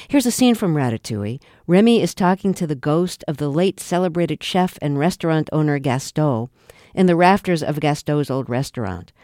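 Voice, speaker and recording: A woman's voice: sits low, dark tone, dry recording. A woman hosting a radio interview show, asking questions and reading scripted introductions into a studio mic. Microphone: close studio mic.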